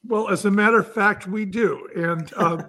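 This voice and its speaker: A man's voice: high voice, normal speed, bright, forceful tone. A man speaking as an entrepreneur guest, telling stories in an enthusiastic, conversational way.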